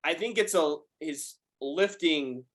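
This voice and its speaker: A man in his late twenties talking powerlifting on a podcast, quick and animated, cursing casually. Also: fairly high pitch. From United States. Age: 20s. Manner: fast, animated, swears freely. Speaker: guest powerlifter.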